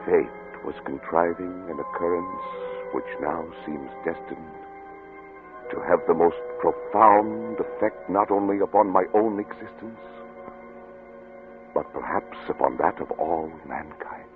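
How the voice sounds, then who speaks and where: A man's voice: low-pitched, measured pace, over loud music. An actor playing a driven, imperious scientist father with a curt, commanding manner in an old-time radio drama. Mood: weary, grave. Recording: muffled, noisy old radio recording.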